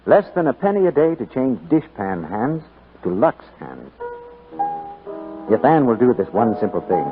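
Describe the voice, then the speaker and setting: A man's voice: medium pitch, big pitch swings, normal speed, slightly rough, soft background music. A man announcing a scripted 1940s radio commercial in a polished, persuasive sales delivery. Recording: muffled 1940s radio broadcast, narrow band.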